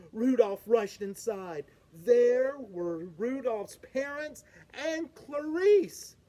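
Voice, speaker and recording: A woman reading a children's picture book aloud, slow and expressive. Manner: slow, expressive, lively pitch swings. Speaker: storybook reader. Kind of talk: children's read-aloud narration.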